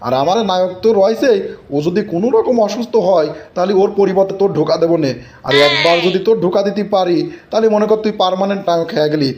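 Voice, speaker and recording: A man's voice: high voice, fast talker, a crowd in the background, lightly acted. A man voicing a hot-tempered older villager in a comic cartoon, emphatic and colloquial, with a rural Bangladeshi accent. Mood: bossy advising.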